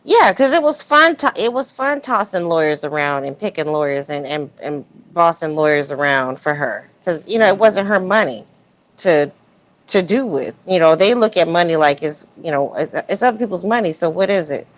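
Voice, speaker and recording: A woman hosting a casual live stream, commenting on messages in a blunt, slangy, animated way. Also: fairly low pitch, normal speed. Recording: dull, lo-fi stream audio.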